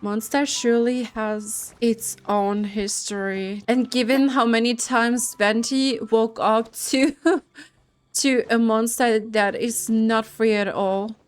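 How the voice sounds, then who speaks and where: A woman's voice: on the high side, fairly even intonation, takes it slowly. A woman game streamer chatting casually and reading aloud as she plays, hesitant and thinking aloud. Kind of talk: casual chat and reading aloud while playing. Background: game music.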